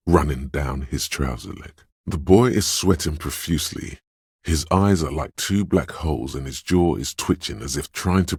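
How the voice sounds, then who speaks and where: Calm, wry inner sense of perception in a game: very husky, measured, points out details in second person. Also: male voice, a bass voice, no echo, clean studio sound, exaggerated voice. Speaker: voice actor for a game's inner skill voice. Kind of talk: scripted game voice lines.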